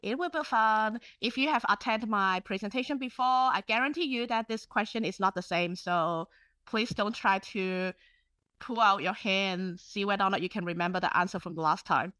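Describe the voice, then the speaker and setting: A woman's voice: mid-range voice, moderate pace, pushed and bright, no echo. A woman whose first language is Cantonese, presenting her part of a library webinar in a chatty, upbeat way.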